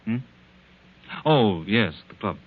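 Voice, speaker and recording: Cold, low-voiced husband, old radio. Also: male voice, animated, takes it slowly. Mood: evasive, weary. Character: cold, evasive, impatient husband wanting out of marriage. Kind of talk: 1940s radio drama acting. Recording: tinny old 1940s radio recording.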